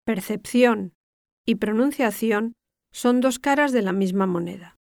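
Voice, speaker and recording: An older woman from Spain with a Castilian accent delivers scripted educational narration in a measured, didactic way, articulating carefully. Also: fairly high pitch, vocal fry, darkish tone, dry recording. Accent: Castilian Spanish. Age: 60s or older.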